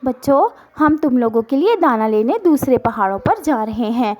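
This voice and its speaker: A woman voicing a caring mother bird, gently instructing, in a children's cartoon story. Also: high voice, lively intonation, moderate pace, highs cut off. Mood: cautioning, caring.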